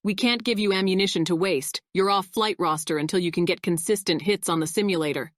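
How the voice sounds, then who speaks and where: Woman acting a low-voiced, no-nonsense intelligence officer. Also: fairly quick, no room sound, clean studio sound, close to an everyday voice. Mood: cold, stern. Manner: matter-of-fact, authoritative. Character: crisp, no-nonsense female military intelligence officer. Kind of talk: audiobook character acting.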